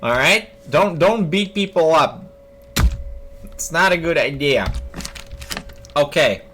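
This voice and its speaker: A man's voice: a little high, lively intonation, slow, pushed and bright, a little hiss or hum. A young man casually commenting on a video game as he plays, thinking aloud and joking. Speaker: streamer. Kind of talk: gaming commentary. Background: faint game music.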